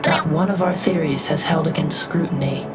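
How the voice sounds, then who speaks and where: A woman playing a polite, curious alien envoy who speaks in formal, measured phrases in a sci-fi fan dub. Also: low-pitched, natural voice. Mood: thoughtful, measured. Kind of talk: fan dub of a sci-fi game, character dialogue and inner narration. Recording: music behind the voice.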